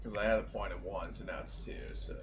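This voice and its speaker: A man's voice: deep voice, fairly even intonation, fast, noisy background. A man in casual chat as a game player, thinking aloud and swearing mildly. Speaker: player in a tabletop roleplaying game.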